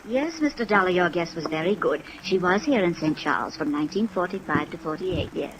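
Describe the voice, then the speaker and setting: Gentle low-voiced nun played by an actress, old radio. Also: female voice, expressive, a little fast, natural voice. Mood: helpful, hesitant. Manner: wistful, hesitant, exclaiming softly. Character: gentle, fond, helpful nun at a school. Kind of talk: 1950s radio acting. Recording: noisy vintage radio recording.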